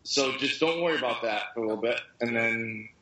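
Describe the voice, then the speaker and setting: A man's voice: medium pitch, fairly quick, pushed and bright, reverberant, a little hiss or hum, poor audio. A young man with a nasal voice, rambling through profane, jokey stories in casual podcast chat. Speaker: podcast co-host.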